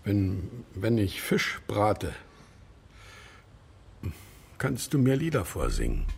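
An actor playing a grumpy, solitary older gentleman in a children's radio play, with a raspy voice and halting, muttered fragments. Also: fairly low pitch, huge pitch swings, exaggerated voice. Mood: gruff, reserved. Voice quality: husky, raspy.

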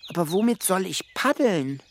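An actor in a children's radio play playing a naive, proud young would-be sailor, earnest and defiant. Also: male voice, sits high, sing-song, normal speed, a little hiss or hum. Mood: doubtful.

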